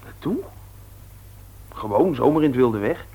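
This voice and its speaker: A man's voice: medium pitch, animated, measured pace, mellow, slightly rough. A man playing an insolent, rebellious young son in a radio play, flippant and cool, sometimes hesitant. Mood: flippant, impatient. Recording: old radio play recording.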